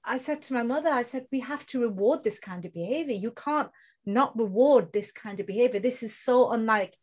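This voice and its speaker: A woman in her forties from the UK with a British accent, a podcast guest speaking casually and earnestly, slowing down to stress her points. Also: on the high side, darkish tone. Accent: British English. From United Kingdom. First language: Bengali. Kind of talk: casual interview conversation.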